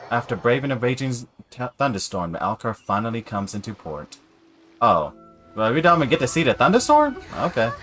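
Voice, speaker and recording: A man's voice: mid-range voice, very animated, a little fast, a bit bright. A man doing casual, chatty live game commentary, reacting aloud to what happens. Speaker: game streamer. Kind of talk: casual live gameplay commentary, reading screen text. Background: game music.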